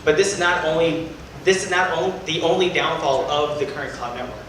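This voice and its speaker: Young male pitch presenter, formal, noisy hall. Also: steady tone, fairly quick. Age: young adult. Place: university event hall. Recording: echoey hall, distant mic, noisy.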